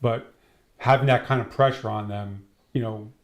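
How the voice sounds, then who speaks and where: A man's voice: lowish voice, steady tone, normal speed. A man speaking as a security trainer in an interview, explaining conversationally and illustrating points with quoted dialogue.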